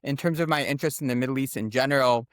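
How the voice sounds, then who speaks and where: American male interview guest, medium-pitched voice, articulate, informative, orderly explanations, very dry recording. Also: rapid-fire, clean tone, dry recording. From United States. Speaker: guest author and former journalist.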